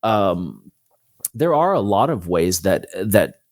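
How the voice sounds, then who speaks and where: A man's voice: sits low, big pitch swings, slow pace, no echo. Man explaining casually, in a dry-sounding space. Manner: explanatory, thinking aloud. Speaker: interview guest, author. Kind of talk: casual conversational interview.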